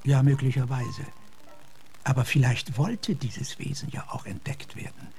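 A thoughtful, earnest gentleman officer with a mellow, soft-edged voice. He speaks in measured, reflective phrases over music, as in a radio play. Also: mid-range voice, animated, vocal fry. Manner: measured, reflective, sometimes hushed. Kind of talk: German radio drama acting.

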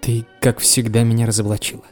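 A man's voice: mid-range voice, level tone, brisk, darkish tone, faint music behind, natural voice. A man playing an affectionate teenage schoolboy brother in a children's audio drama. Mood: gently playful.

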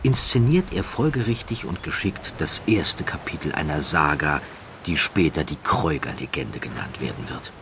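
A man narrates a scripted documentary-style story in measured, deliberate phrases with dramatic emphasis. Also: very deep voice, animated, noisy background.

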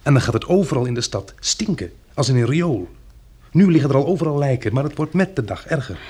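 An actor playing a practical, resolute man planning survival after a catastrophe in a Dutch radio drama, hesitating as he thinks aloud, with a husky, gravelly voice. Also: medium pitch, sing-song, fairly quick, darkish tone. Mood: urgent.

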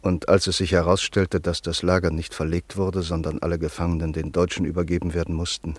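Deep, dry-voiced radio play narrator. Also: male voice, flat delivery, no echo. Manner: measured, sober.

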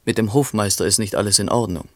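A man's voice: on the low side, fast, dry recording, close to an everyday voice. A man playing a dry, knowing chronicler in a literary radio play, narrating tersely with faint irony. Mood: matter-of-fact. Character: dry, knowing male chronicler of a poet's life.

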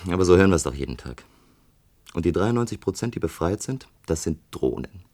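An actor playing a brooding, sardonic out-of-work electrician at a bar in a science-fiction radio play, deep and husky. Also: male voice, animated, fairly quick, darkish tone. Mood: bitter. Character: brooding, disillusioned unemployed electrician drinking at a bar.

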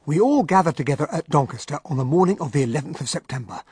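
A husky, genial English gentleman. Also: a little high, lively intonation, fast talker, dry recording, studio quality. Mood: grave. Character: earnest, genial English gentleman, detective's loyal companion. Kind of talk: radio drama acting and narration.

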